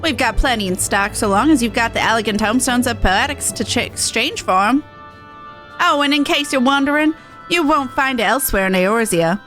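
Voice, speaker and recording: Woman doing a folksy, high-pitched shrewd game merchant. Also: animated, fairly quick, fairly bright tone, faint music behind. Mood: folksy, sly. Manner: folksy, colloquial.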